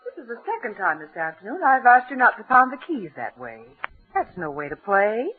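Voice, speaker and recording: A woman's voice: a little high, big pitch swings, a little fast. A woman playing a strict, anxious housewife and stepmother in an old-time radio drama. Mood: scolding, restrained. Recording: tinny old radio recording, faint music.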